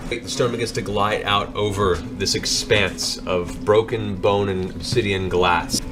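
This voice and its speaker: A man working as game master, giving dramatic narration of a tabletop role-playing game. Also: on the low side.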